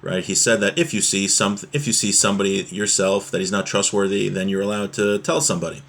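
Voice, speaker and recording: A man's voice: on the low side, flat delivery, fairly quick, fairly bright tone. Man plainly explaining a religious text. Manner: informal, plain-spoken explaining with everyday examples. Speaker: religious teacher.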